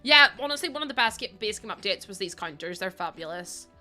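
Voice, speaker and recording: A woman's voice: medium pitch, lively intonation, quick pace, soft background music. A woman livestreaming, chatting casually with her viewers and laughing often. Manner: chatty, laughs often, greets chat warmly. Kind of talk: casual chat with stream viewers.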